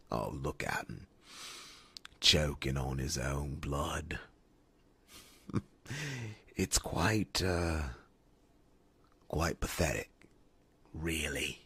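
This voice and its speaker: A very low, husky, breathy demon, acted out by a man, gloats and taunts with cruel relish. The words come extremely slowly, often sinking into whispers, as fantasy ASMR roleplay. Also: fairly bright tone, heavily acted. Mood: cruel amusement. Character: sadistic gloating demon servant of a dark queen.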